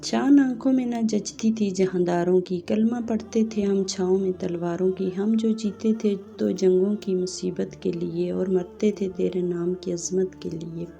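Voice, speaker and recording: A woman's voice: medium pitch, creaky, warm tone. A woman reciting poetry and quotes as the narrator of her own channel.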